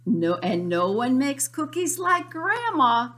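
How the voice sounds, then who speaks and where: Woman playing a slow, singsong storybook mother. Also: high voice, very animated, fairly bright tone, voice pushed up, full character voice. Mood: proud. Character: kindly, generous mother, proud of Grandma's baking.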